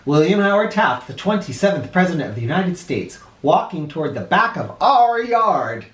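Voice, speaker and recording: A man's voice: high-pitched, sing-song, normal speed, fairly bright tone. A man reading a children's storybook aloud in a theatrical, playful way. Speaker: children's storybook reader, host. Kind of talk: animated read-aloud narration with asides. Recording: roomy echo.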